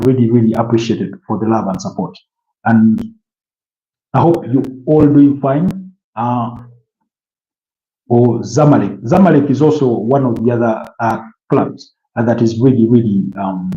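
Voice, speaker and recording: A man's voice: medium pitch, warm tone, roomy sound, dull audio. A man from Uganda hosting a live stream, chatting casually and answering viewer comments with many restarts and fillers. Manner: halting, repeats words, many fillers.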